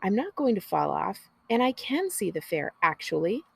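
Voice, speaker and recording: Woman voicing a defiant preteen girl, slightly husky. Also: mid-range voice, sing-song, normal speed, exaggerated voice. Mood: insistent. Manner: indignant, earnest. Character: defiant, anxious, literal-minded preteen younger sister. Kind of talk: children's storybook character reading.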